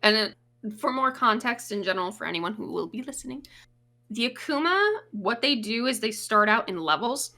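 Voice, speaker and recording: A woman with a bright, forward voice talks as a guest on a casual podcast. She explains things at length and swears casually. She is recorded remotely over the internet. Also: fairly high pitch, lively intonation, moderate pace.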